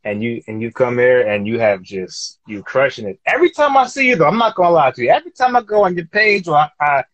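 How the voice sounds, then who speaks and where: A man's voice: a little high, very animated, fast talker, fairly bright tone, no echo, dull audio. A man hosting a podcast interview, encouraging his guest and hyping her up with slang. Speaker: podcast host. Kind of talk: casual conversational interview.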